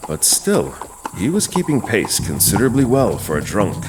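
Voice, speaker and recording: A man narrating a horror audio drama as host, in a measured, dramatic storytelling manner with pauses. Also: fairly low pitch, wildly swooping pitch, noisy background.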